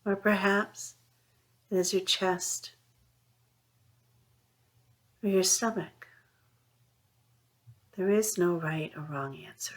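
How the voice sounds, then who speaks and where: A woman leading a guided mindfulness meditation, speaking in a soothing, unhurried way with long pauses between phrases. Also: mid-range voice. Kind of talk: guided meditation, gentle instruction.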